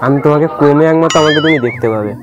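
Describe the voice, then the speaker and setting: A man's voice: a little high, very fast, muffled recording. A man with a West Bengal accent making a prank call in casual talk, pleading and insistent, often repeating himself. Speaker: prank caller. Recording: loud music under the call. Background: music.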